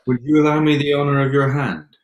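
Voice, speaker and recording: An actor playing a haughty, surly young gentleman with a stiff, disdainful manner in a period staged reading. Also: fairly high pitch, flat delivery, quick pace, lo-fi sound, a little hiss or hum, lightly acted. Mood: formal. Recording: echoey, reverberant room.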